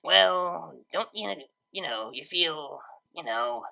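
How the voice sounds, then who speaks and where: Woman voicing a brash, creaky-voiced young unicorn DJ. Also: low-pitched, expressive, slow pace, pushed and bright, full character voice. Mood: awkward. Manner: casual, slangy, teasing.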